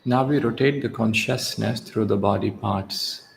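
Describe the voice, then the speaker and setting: Slow, low-voiced man guiding meditation. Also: creaky. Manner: measured, short instructive phrases with long pauses. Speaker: yoga nidra instructor. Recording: echoey reverberant room, faint background hiss.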